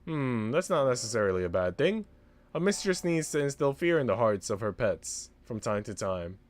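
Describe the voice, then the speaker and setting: A man's voice: mid-range voice, very animated, moderate pace, natural voice. A man voicing a haughty, teasing young mistress with arch, precise, theatrical phrasing, in a visual novel.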